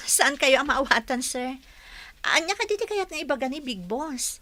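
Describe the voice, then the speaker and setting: An actress playing an earnest, polite young training coordinator in a radio drama. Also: female voice, high voice, expressive, measured pace, bright, forceful tone, no echo, exaggerated voice.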